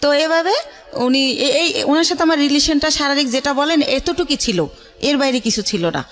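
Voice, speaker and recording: A middle-aged woman with a Bangladeshi accent, telling her life story haltingly as a guest in a radio interview. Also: high-pitched, fairly bright tone, faint music behind.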